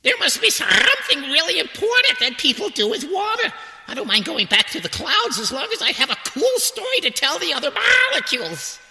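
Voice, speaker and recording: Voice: high voice, wildly swooping pitch, a little fast, vocal fry, pushed and bright, full character voice. An actor voicing a tiny male water molecule in a children's radio drama, eager, curious and naive, full of wondering questions. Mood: hopeful, puzzled. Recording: heavily reverberant, echoing voice.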